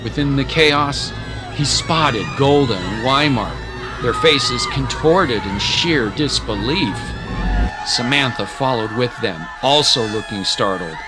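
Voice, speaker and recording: A man narrating a dramatic scripted story in a measured, solemn manner. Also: medium pitch. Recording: dramatic music bed. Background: music.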